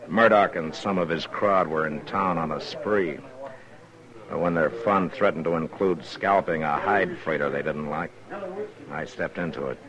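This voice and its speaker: An American actor playing a stern, weary frontier lawman in a 1950s radio western, plain-spoken and measured. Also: very deep voice, highs cut off, crowd noise. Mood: grim, reflective. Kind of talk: 1950s radio western acting, partly first-person narration.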